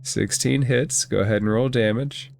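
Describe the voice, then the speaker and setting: A man's voice: mid-range voice, steady tone, normal speed, creaky, a little mellow, a little hiss or hum. A man acting as game master, narrating a tabletop game and talking through rulings aloud. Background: background music.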